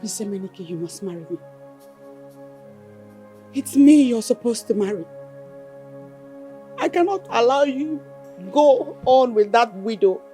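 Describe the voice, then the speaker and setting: An obsessive widow. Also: female voice, fairly high pitch, lively intonation, measured pace, pauses often, dark tone, soft background music. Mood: pleading. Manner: intense, pleading then insistent. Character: scheming young widow, obsessive and desperate. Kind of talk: film drama acting.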